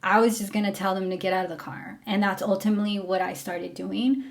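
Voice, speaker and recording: A woman's voice: mid-range voice, fairly even intonation, fairly quick, creaky. A young woman from Mexico talking candidly to camera, frank and full of fillers, about her time as a driver. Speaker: former rideshare driver. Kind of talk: casual storytelling to camera.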